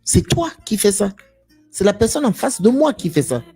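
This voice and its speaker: A man's voice: high voice, sing-song, moderate pace, warm tone, breathy edge, soft background music. A man giving an impassioned live monologue to viewers, emphatic and preachy, repeating phrases and asking rhetorical questions. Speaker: live stream speaker.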